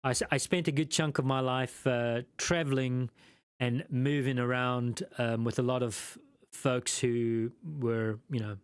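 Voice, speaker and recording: A man's voice: mid-range voice, level tone, unhurried, clear, resonant tone, no room sound. A man from South Africa with a South African accent, hosting a casual live stream and chatting with viewers, rambling and self-deprecating with many ums and restarts. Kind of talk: casual live chat reading viewer comments.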